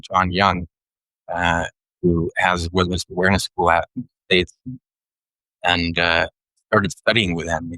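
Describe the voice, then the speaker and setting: A middle-aged Swedish man talks in accented English, his very low voice carrying a bright, forceful edge. He is reflective and unhurried, often pausing to restart a phrase. The recording is close and dry. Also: dry recording, low-quality recording. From Sweden. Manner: thoughtful, unhurried, with frequent pauses and restarts. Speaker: guest. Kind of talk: reflective interview conversation.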